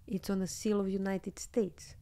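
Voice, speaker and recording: A woman in her thirties from Serbia with a Serbian accent, chatting candidly as a guest on a podcast in a small studio, speaking close into a boom microphone. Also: normal speed. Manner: candid, animated, laughs often. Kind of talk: casual interview chat. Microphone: studio mic on boom arm, headphones.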